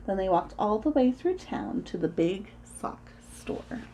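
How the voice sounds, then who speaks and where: A woman storyteller reads a children's book aloud with very lively intonation. Her delivery is slow and deliberate, with a little background noise behind her. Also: medium pitch, low-quality recording. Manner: animated, deliberate, acts out sound words.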